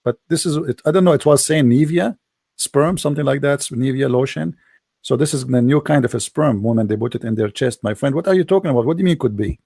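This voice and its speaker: A man's voice: medium pitch, quick pace, creaky, warm tone, husky. A middle-aged man whose first language is Arabic hosts a heated debate, talking in a sarcastic, mocking way and often saying "my friend". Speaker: debate host.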